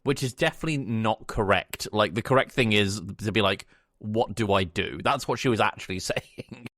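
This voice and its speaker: A man with a fairly low voice co-hosts a film review podcast. He explains things in a casual, conversational way, often restarting as he searches for phrasing. The recording is dry and free of echo. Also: moderate pace, fairly bright tone. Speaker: podcast co-host.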